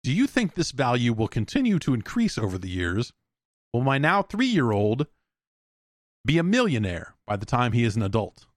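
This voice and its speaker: A man's voice: medium pitch, wildly swooping pitch, moderate pace, no room sound, studio quality. A man hosting a podcast solo, chatting casually in a rambling, opinionated, profane way. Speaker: podcast host answering listener mail.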